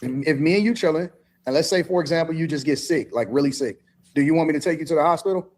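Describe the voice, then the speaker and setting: Quick-talking man posing hypotheticals. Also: a little high, a little mellow. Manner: probing, hypothetical questioning. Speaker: co-host in a played podcast clip. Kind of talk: casual debate.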